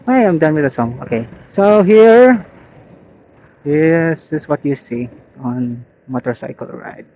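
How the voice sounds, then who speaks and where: A Filipino man with a slightly high, relaxed voice rambles casually as he rides a motorbike. He takes his time and thinks aloud in an easygoing way. The sound is a bit dull, as if through a helmet intercom. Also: slow. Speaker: motorcycle vlogger. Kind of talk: casual chat, rambling commentary while riding. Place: outdoors, riding a motorbike on rural roads. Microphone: helmet intercom headset. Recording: muffled helmet intercom audio.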